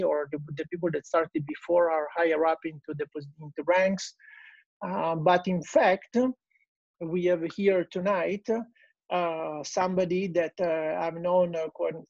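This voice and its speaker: An Italian-accented man in his forties talks through a video call, his voice gravelly and a bit husky. He hesitates often, restarting phrases. The earbud mic sounds dull and lo-fi. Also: on the high side, a little mellow, no room sound. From Italy. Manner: hesitant, many fillers and restarts. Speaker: guest speaker. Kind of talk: business presentation. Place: small room at home, indoors.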